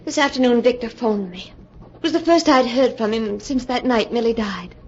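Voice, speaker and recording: A woman's voice: fairly high pitch, moderate pace, a little hiss or hum, natural voice. An actress playing a distraught young woman torn between love and hatred, in an intense, theatrical 1940s radio melodrama style. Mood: anguished, resolute. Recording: dull 1940s radio recording with music.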